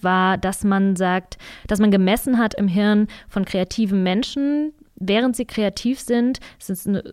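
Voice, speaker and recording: Woman, mid-pitched, conversational podcast co-host, searching for words, dry close recording. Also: clean tone, no echo.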